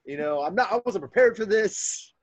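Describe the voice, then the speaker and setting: A man's voice: high voice, lively intonation, moderate pace, no echo. A man in casual podcast chat, joking crudely, calling in from a camper trailer. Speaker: podcast guest.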